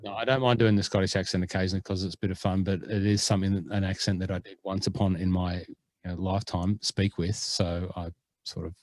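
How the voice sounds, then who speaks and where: A man's voice: low-pitched, flat delivery, fast, no echo. A man co-hosting a golf rules podcast, chatting casually in a jokey, rambling way. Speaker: podcast co-host.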